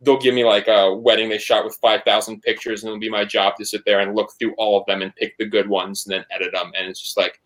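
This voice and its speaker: A man's voice: lowish voice, little pitch movement, quick pace, hardly any pauses, fairly bright tone, rough recording. A man being interviewed on a podcast, talking casually and rambling with lots of "like" and some swearing. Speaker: podcast guest, band member and photographer.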